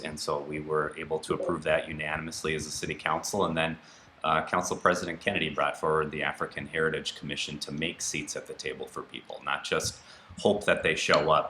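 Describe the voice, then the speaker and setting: A man with a very deep, forceful voice gives a candidate's answer at a public forum. The room adds noticeable echo around his words, with faint noise underneath. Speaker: city councilor and candidate. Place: indoor TV studio.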